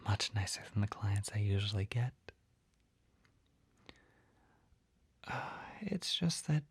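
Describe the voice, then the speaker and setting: A shy, kindly young male potion shopkeeper, acted by a man for an ASMR roleplay. He speaks in a very breathy, crackly whisper, unhurried and hesitant. The sound is close and dry, gentle and skittish throughout. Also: lowish voice, dry recording, full character voice. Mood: shy, friendly. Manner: hesitant, gentle, soft-spoken. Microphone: close ASMR microphone.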